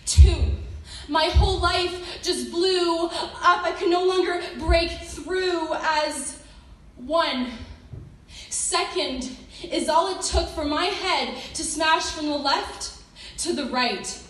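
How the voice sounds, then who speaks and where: A woman reciting rhyming slam poetry with dramatic pauses and an emotionally intense delivery. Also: extremely high pitch, recorded from a distance. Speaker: slam poet. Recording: echoey room from afar, noisy.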